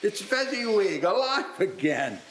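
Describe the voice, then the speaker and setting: A man playing old Scrooge, remorseful and wistful, with a halting delivery, in a radio-play style reading. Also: high voice, sing-song, a bit bright, reverberant, heavily acted. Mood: delighted.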